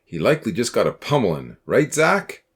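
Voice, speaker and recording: A woman's voice: rumbling low, sing-song, brisk, husky, pitched below the performer's own voice, full character voice. A woman voicing a practical, reassuring young male friend in an audiobook, speaking steadily and colloquially.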